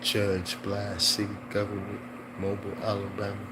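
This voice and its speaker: A man reading aloud in a casual, halting way, repeating phrases and dropping in informal off-the-cuff comments. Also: lowish voice, monotone, heavy background noise. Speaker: host reading aloud from an old newspaper.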